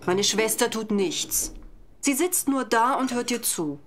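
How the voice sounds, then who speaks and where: An actress playing a harried, complaining housewife in a religious audio drama, indignant and plaintive. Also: female voice, fairly high pitch, lively intonation, unhurried.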